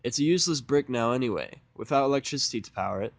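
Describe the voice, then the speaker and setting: A man's voice: mid-range voice, expressive, fast talker, vocal fry, no echo. A man playing an irritable, stubborn, sarcastic young student in a fan fiction audio drama, speaking bluntly and with exasperation. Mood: demanding.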